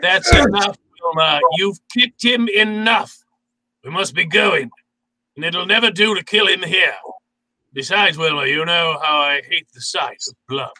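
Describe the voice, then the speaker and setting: An actor playing a suave, wordy crime boss with a pompous, mock-courtly manner in a 1940s-style radio drama. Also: male voice, high-pitched, animated, a little slow, pushed and bright, heavily acted, poor audio. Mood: sharp command, then smug, mock-courtly.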